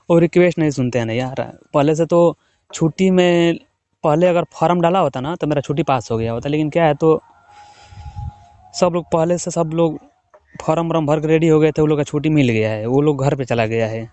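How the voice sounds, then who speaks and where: A man's voice: fairly high pitch, fairly quick, warm tone, dry recording. A young man from the of India, chatting casually with his wife, teasing and coaxing. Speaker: husband working away from home. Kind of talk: casual phone chat.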